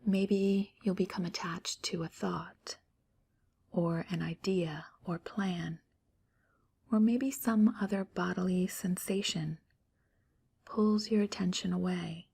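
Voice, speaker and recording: A woman leading a guided meditation, her voice soft and breathy, almost a whisper. She speaks very slowly and calmly, leaving gentle pauses between phrases, in a slightly low register. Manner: slow, calm, gentle pauses.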